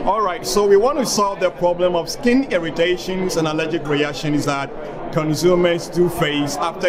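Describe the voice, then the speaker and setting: A young man, a PhD student, fluently explaining his research poster. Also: high voice. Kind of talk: conference poster interview. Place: busy conference poster hall. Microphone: handheld camera mic at close range. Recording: loud crowd chatter behind.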